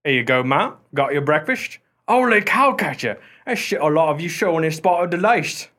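A man's voice: a little high, expressive, normal speed, sharp tone. An actor playing an irreverent working-class married man in a radio play, folksy and cheeky in his speech. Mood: jaunty. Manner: cheeky, familiar, unflappable.

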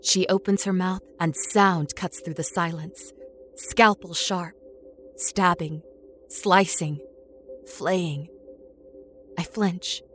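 Haunted captive woman telling a horror story, lowish voice, slow brooding delivery, music underneath. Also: steady tone, a bit bright. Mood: tense, brooding. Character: amnesiac captive woman, haunted and introspective test subject. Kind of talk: first-person scripted horror audio story. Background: music score under the voice.

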